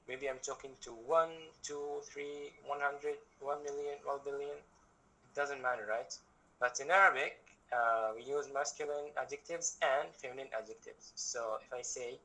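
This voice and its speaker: A young man from Egypt teaches an online workshop over a video call. His voice is bright and forward, and he explains patiently, circling back and restarting as he works through his examples. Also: mid-range voice, rough recording. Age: young adult. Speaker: guest language teacher.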